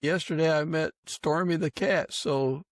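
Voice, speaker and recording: A man hosting a casual interview podcast, speaking haltingly and pausing mid-sentence as he works toward his questions. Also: on the high side, fairly even intonation, no echo, studio quality. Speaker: podcast host.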